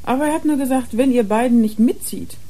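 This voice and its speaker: Young female drug user in a radio crime play, frank and defiant, soft dark tone, played by an actress. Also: a little high, brisk, close to an everyday voice. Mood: confiding, upset. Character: worn young drug-using woman, confiding and defiant. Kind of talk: German radio crime drama acting.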